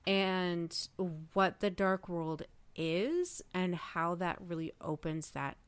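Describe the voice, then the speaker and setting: A woman's voice: fairly low pitch, expressive, slow, smooth, dry recording. A woman podcast guest chatting casually and enthusiastically, with emphatic phrasing and some swearing. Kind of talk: casual discussion of a fiction podcast.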